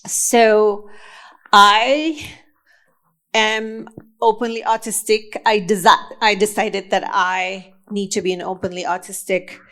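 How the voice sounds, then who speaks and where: A woman from Indonesia presenting at a conference session. She speaks at an unhurried, measured pace, pausing often and restarting her sentences. Her voice sits in an ordinary middle pitch. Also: steady tone, a bit bright. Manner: slow and deliberate with frequent fillers and restarts. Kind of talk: conference talk.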